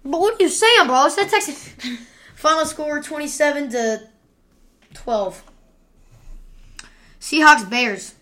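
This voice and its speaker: A woman hosts a sports picks podcast in a loud, excitable way, teasing her guest as she goes. Her voice sits a bit high and rings bright and forceful. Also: takes it slowly, pauses often. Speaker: podcast host. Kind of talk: casual sports picks chat.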